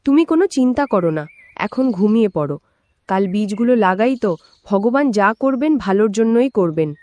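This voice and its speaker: A woman's voice: mid-range voice, expressive, normal speed, a little mellow, no room sound, muffled recording, natural voice. A woman playing a devoted, devout farmer's wife in a village cartoon, speaking earnestly and caringly. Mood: reassuring. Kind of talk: Bengali cartoon voice acting.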